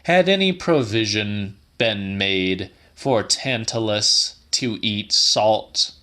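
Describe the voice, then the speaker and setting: A man narrating a literary audiobook in a dramatic, theatrical, deliberate manner. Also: lowish voice, lively intonation, pushed and bright.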